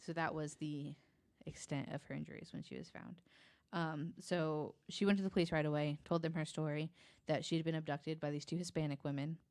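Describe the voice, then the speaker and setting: A woman with a deep-set, fry-edged voice talks through true crime on a podcast. She is informal and halting, often hedging, and the sound is dry with no room echo. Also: steady tone, darkish tone. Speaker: podcast guest. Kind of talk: conversational true crime case retelling.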